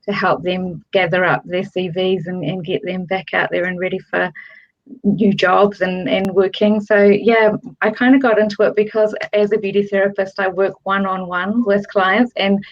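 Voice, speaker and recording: A woman's voice: mid-range voice, normal speed. A middle-aged woman, a relationship coach, talking casually on a panel over a video call. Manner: relaxed, many fillers and restarts. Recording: dull lo-fi video call audio.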